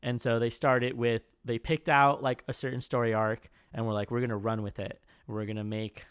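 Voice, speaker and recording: Man in his twenties, smooth clear voice, dry close sound, rambling casual chat, says "like" constantly. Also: mid-range voice, moderate pace, dry recording. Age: 20s. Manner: rambling, heavy on "like", animated retellings. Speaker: podcast co-host.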